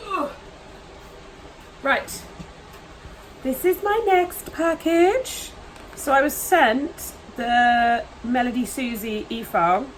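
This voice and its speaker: A woman's voice: on the high side, a little hiss or hum, rough recording. A woman with hay fever, chatting casually and gushing as she unboxes nail products at home. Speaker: nail vlogger. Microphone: camera mic, voice off camera.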